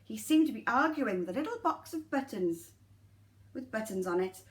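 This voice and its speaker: A woman tells a nursery story in a high voice. Her delivery is very animated and dramatic, and she often turns to chat directly to the children. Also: normal speed. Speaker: nursery teacher reading a story. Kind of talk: expressive children's storytelling with asides to listeners.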